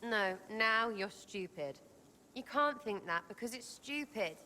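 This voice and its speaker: A woman's voice: on the high side, lively intonation, a little slow, sharp tone, long reverb tail, slight background noise. A woman playing a brash, scornful young elf in a video game, with a British accent and blunt, mocking, slangy delivery. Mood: exasperated. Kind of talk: video game character dialogue.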